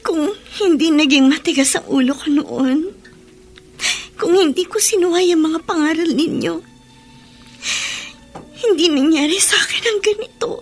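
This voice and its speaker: A woman's voice: very high voice, measured pace, long pauses. An actress playing a remorseful, tearful young daughter who has just become a mother, in a radio drama. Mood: tearful regret. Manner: tearful, respectful, pleading. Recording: music under the voice.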